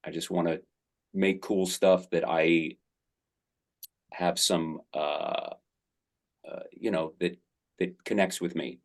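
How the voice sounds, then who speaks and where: A man's voice: sits low, steady tone, slow, long pauses. A middle-aged man, an actor, answering interview questions in a rambling, enthusiastic way with many fillers.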